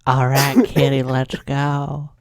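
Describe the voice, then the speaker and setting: A man's voice: medium pitch, monotone, slow, very noisy. A man reading a comedic script part as an amateur, with understated mock reactions. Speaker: reader of a character part.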